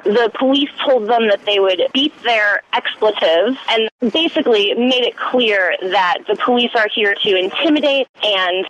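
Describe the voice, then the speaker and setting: Fairly high-pitched female activist, forceful, on a muffled lo-fi line. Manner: forceful, indignant. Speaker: activist spokesperson. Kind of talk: interview statement.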